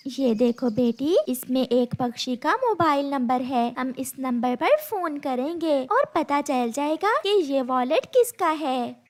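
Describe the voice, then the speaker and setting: A woman voicing a gentle, caring, patient mother bird in a children's cartoon story. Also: sits high, lively intonation, slow pace, hardly any pauses. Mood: patient, instructive.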